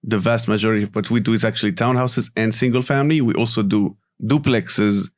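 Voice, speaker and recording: Low-voiced man from Morocco explaining. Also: a little fast. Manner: relaxed, explanatory, tags sentences with "right?" and "you know". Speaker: guest, company CEO. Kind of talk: casual interview talk.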